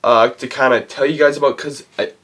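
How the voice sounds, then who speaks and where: A man with a cold gives a casual, rambling film review, halting as he searches for words. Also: medium pitch, fairly even intonation, fairly bright tone. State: has a cold. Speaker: movie reviewer and channel host.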